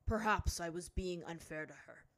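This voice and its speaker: An older chief put on by a woman narrator in a storybook reading. He sounds weary and conciliatory, speaking in a measured, formal way. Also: lowish voice, fairly bright tone.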